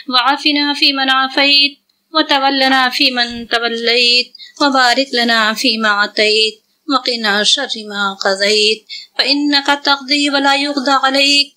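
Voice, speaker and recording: A woman leading a recited religious supplication in a solemn, pleading manner with measured phrasing. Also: fairly high pitch, pushed and bright. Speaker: prayer leader.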